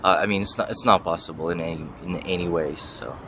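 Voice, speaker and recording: A man's voice: deep voice, fast talker, background noise. A young man giving casual interview answers as a university student, thinking aloud and hedging as he goes. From Russia and the US. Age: young adult.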